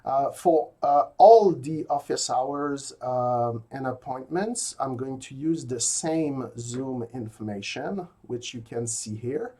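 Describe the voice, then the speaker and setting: A man's voice: medium pitch, takes it slowly, few pauses, dark tone, breathy edge. A man from rural Quebec with a Québécois French accent, a native French speaker giving a pre-recorded university logic lecture from his office, with many fillers and restarts.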